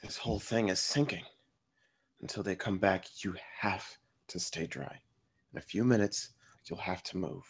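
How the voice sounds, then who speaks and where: Man playing an injured, gravelly, sardonic trapped man. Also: deep voice. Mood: strained, weakening. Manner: wry, blunt, halting through pain. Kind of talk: acted table read of a film script.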